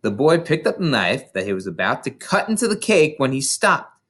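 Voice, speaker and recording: An expressive narrator reading a children's story aloud. The delivery is theatrical and dramatic, with lively intonation that rises and falls widely. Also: mid-range voice, normal speed, slightly rough. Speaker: storybook reader. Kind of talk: expressive children's book narration with playful asides.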